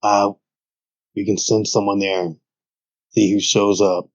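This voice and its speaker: A man playing a tabletop roleplaying game in character, in short, terse phrases with a gravelly, husky voice. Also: lowish voice, level tone, slow, dry recording. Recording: dry, dull lo-fi audio, faint music.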